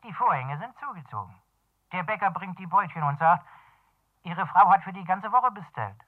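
Fussy caretaker in an old radio play, swoops up and down, explains step by step down a phone line. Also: male voice, high voice, expressive, moderate pace. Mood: agitated, dutiful. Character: fussy male building caretaker reporting to an owner. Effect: telephone voice. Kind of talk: 1970s German radio crime drama acting. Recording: band-limited telephone line in radio play.

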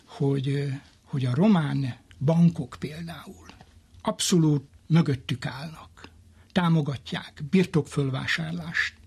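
Husky, creaky-voiced man explaining history. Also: fairly high pitch, a little mellow. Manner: halting, with repeated words and pauses. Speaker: military historian, interview guest.

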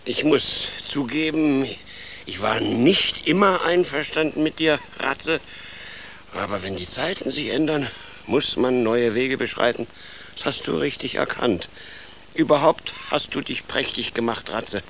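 A man's voice: medium pitch, animated, unhurried, gravelly, a bit bright, a little hiss or hum. A man playing a proud, pompous old grandfather rat who leads the clan in a children's radio play. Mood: solemn, pompous. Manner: pompous, theatrical, indignant.

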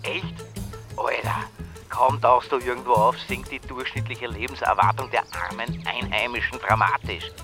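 An actor playing a cheeky, morbidly curious Austrian friend in a radio drama, sardonic and slangy. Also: male voice, mid-range voice, fairly even intonation, moderate pace, over music, natural voice. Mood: curious, teasing. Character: cheeky, morbidly curious slacker friend, café bar type.

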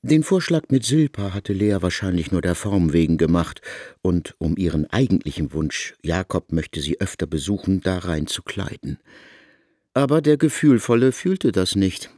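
A man narrating a literary radio reading in an expressive, measured way. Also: sits low, no echo, studio quality.

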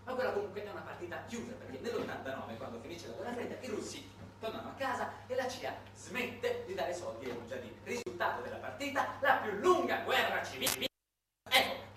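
Echoey, distant man performing a monologue. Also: sits high. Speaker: stage monologuist. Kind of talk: satirical theatrical storytelling monologue. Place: roomy indoor performance space, far from mic. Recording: distant, echoey, noisy live theatre recording.

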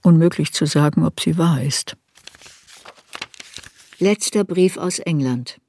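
An actress playing an older woman writer who soberly looks back on her youth in a measured, reflective radio drama narration. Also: deep voice, warm tone, a little hiss or hum. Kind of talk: literary radio drama narration in first person.

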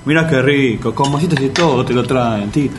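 An actor playing an enthusiastic, placating café buddy in a comic radio play, with a colloquial Buenos Aires accent. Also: male voice, medium pitch, brisk, noisy background, lightly acted. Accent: Buenos Aires Argentine. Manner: colloquial, coaxing.

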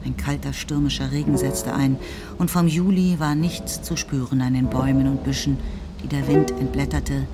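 A woman reading scripted literary narration for a radio play in a measured, composed way. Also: extremely low pitch, fairly even intonation. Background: music.

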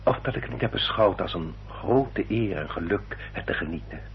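An actor playing a courteous, guarded gentleman suitor in a radio drama, with polite and stiffly formal speech. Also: low voice, lively intonation, normal speed, creaky. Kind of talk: Dutch radio drama acting. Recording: dull, noisy old radio recording with hum.

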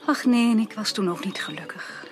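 Actress as melancholy young gentlewoman, medium pitch, subdued, resigned, formal diction, radio drama. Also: normal speed, close to an everyday voice. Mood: resigned, quiet. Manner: formal, resigned, subdued, dropping to breathy near-whispers. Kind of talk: 1980s Dutch radio drama acting.

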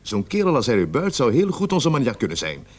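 An actor playing a gruff, weary, sceptical police sergeant in a radio play, grumbling bluntly in colloquial speech. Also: male voice, medium pitch, wildly swooping pitch, a little fast. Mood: weary, pondering. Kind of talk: Dutch-language radio drama dialogue.

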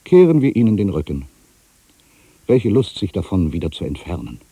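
Man acting very deep, gravelly ageing writer, brooding. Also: big pitch swings, warm tone, no room sound, exaggerated voice. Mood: restless, reflective. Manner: brooding, deliberate, with pensive pauses. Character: ageing male writer, solitary, brooding, wistfully revisiting his past. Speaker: monologue performer. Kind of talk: literary dramatic monologue, reflective first-person narration.

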